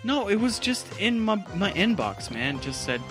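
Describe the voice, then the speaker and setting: A man's voice: high-pitched, big pitch swings, moderate pace, over music, pitched above the performer's own voice. A man voicing a whiny, defensive freelance paparazzo in a tabletop roleplay, pleading and protesting in slangy speech. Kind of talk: improvised tabletop roleplay dialogue.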